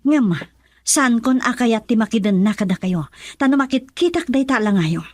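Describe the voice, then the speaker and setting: A woman's voice: fairly high pitch, expressive, moderate pace, darkish tone, dry recording, studio quality. A woman playing a hurt, reproachful adult daughter in a radio drama, speaking with emphatic feeling. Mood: hurt, bitter. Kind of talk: Ilocano radio drama acting.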